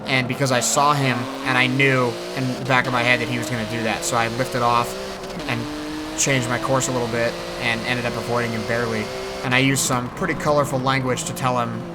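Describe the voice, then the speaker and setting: A man's voice: mid-range voice, little pitch movement, a little fast. A man hosting a gaming video, giving casual, rambling, hesitant voice-over commentary. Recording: loud racing car engine noise behind. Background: racing game car sounds.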